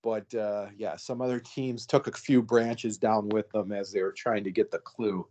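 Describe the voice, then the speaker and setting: A man's voice: on the low side, fairly even intonation, normal speed, warm tone. A man hosting a casual reality-show review podcast, talking in a rambling way with many fillers and easy laughs. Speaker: podcast host. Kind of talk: casual chat.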